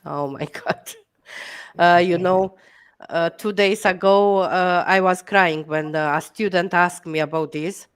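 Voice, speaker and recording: A middle-aged Romanian woman on a headset mic, speaking English slowly and with care. Her voice is smooth and clear, a little low, and she pauses often with fillers while giving encouraging answers. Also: steady tone. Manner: hesitant, many fillers, encouraging. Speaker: guest educator. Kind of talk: video call Q&A.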